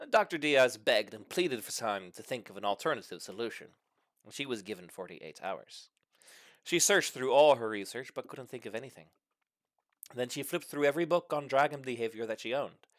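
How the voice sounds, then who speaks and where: Animated man reading a comic story. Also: mid-range voice, normal speed, dry recording. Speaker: story reader. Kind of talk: animated scripted narration of a comic short story.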